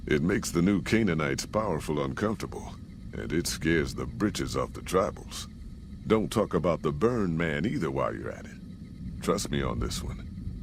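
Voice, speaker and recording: An American actor playing a gruff, folksy caravan boss in a post-apocalyptic video game, with plain-spoken frontier drawl. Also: male voice, a bass voice, background noise, full character voice. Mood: wary, cautioning. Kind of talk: scripted video game character dialogue.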